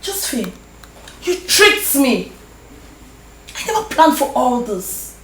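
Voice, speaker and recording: Casting: distraught rich young woman, very high bright voice, tearful, roomy echo with music under it. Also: very animated, slow, a little hiss or hum, heavily acted. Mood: grieving. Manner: anguished, pleading, crying out. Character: rich young woman torn over her sick mother.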